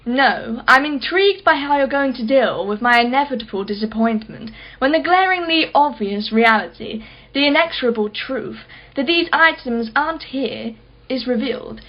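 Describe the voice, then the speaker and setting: Radio drama casting: wealthy female collector played by a woman, higher-pitched, imperious, sardonic and sceptical, slightly muffled recording. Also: lively intonation, moderate pace. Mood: sceptical, mocking. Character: haughty, sharp-tongued wealthy female art collector, sceptical. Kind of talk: radio play acting.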